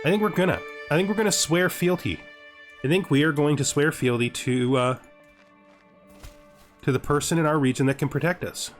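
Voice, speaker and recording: A man's voice: fairly high pitch, moderate pace, pauses often. Man thinking aloud over game music. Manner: deliberative, repeats himself, often checks with "right?". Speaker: gaming host playing a strategy game. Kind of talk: thinking-aloud gameplay commentary, part in character.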